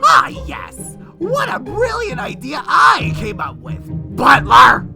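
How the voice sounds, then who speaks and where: Girl doing a whiny hot-tempered fire ghost guy, gravelly and high, throws tantrums, super swoopy. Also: huge pitch swings, takes it slowly, loud music behind the voice, exaggerated voice. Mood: gleeful scheming. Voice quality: high-pitched, scratchy. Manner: whiny, shouty outbursts. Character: petulant male fire ghost villain, childish and hot-tempered.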